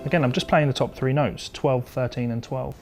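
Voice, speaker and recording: A male guitar instructor talks through a lesson in an informal, methodical way. His voice sits at an average pitch for a man. Prominent guitar playing accompanies his explanations. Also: steady tone, fairly quick. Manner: explains step by step, talking between demonstrations. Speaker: guitar teacher. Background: electric or acoustic guitar being played.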